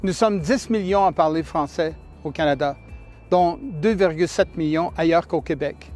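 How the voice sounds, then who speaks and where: A man's voice: fairly high pitch, normal speed, a little mellow, faint music behind. A man reading a scripted voice-over in a solemn, rallying tone.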